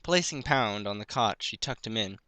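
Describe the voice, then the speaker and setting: A man's voice: on the low side, expressive, normal speed, bright, forceful tone, no echo. A man narrating a piece of fan fiction, reading it aloud as a story.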